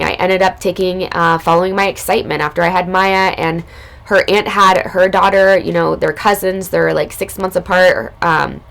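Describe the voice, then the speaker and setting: A woman recovering from illness, her voice hoarse and raspy, telling a personal spiritual story in a casual, loose way as a podcast host. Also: lowish voice, fairly bright tone. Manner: casual, loose, spiritual talk with many fillers.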